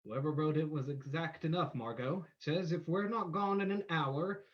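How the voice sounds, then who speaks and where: A man playing a composed, shrewd gentleman detective with a dry, decisive manner in an old-time radio play. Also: on the high side, normal speed, highs cut off, lightly acted.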